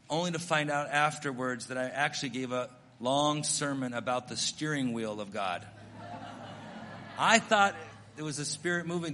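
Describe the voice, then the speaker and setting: A middle-aged American missionary pastor preaching a sermon, telling stories in an earnest, self-deprecating way. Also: male voice, on the high side, sharp tone, big-room sound. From United States.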